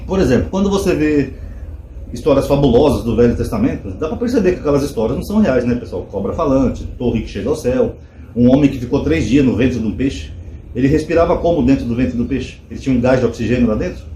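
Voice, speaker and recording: A man's voice: medium pitch, quick pace, roomy sound, a little hiss or hum, low-quality recording. A man, chatting casually to his viewers and explaining his points with rhetorical questions. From Brazil. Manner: conversational, animated asides with rhetorical questions. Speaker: channel host.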